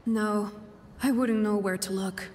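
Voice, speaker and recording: A woman's voice: mid-range voice, steady tone, a little slow, a little mellow, close to an everyday voice. An actress playing a guarded, brooding, blunt young warrior woman in a video game. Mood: uneasy. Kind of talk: video game character dialogue. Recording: faint game ambience noise.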